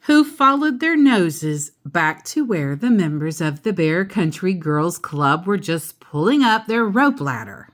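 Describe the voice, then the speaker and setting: A woman reading a children's picture book aloud in an expressive, animated way, acting out the characters. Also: lowish voice, measured pace, few pauses. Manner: animated, acts out character voices.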